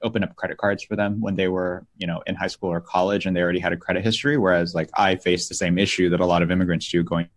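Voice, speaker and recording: A man's voice: low voice, level tone, quick pace, hardly any pauses. A young man born in the Philippines and raised in the US, talking thoughtfully as a podcast guest with many restarts. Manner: thoughtful, with frequent restarts and "like" fillers. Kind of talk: casual interview chat.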